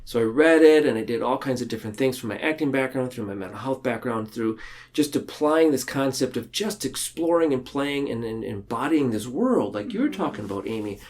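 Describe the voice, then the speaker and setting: A man speaking casually and reflectively as a podcast guest, telling things in a halting, earnest way. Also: mid-range voice, expressive, a little mellow.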